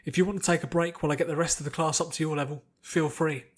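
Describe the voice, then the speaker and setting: A man voicing a gruff, commanding military instructor who barks out drill orders. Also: on the high side, fairly even intonation, quick pace, natural voice. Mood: approving. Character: gruff barking male military sparring instructor.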